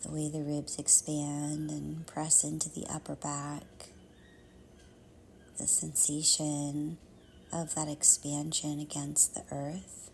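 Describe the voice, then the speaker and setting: A woman leading a guided meditation in a soft, half-whispered, soothing voice, with measured phrases and long pauses. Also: sits low, completely flat delivery, gravelly, slight background noise.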